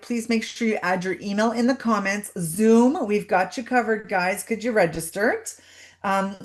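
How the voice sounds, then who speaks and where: A woman hosting a live webinar over a video call, chatty and welcoming as she greets everyone. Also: a little high. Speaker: webinar host.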